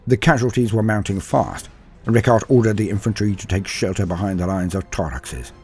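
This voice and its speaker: A man's voice: lowish voice, a little fast, a little mellow, soft background music. A man narrating scripted war fiction in a grave, theatrical manner, letting his phrases fall away at the end. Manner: grave, theatrical storytelling with falling phrase endings. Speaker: narrator and storyteller.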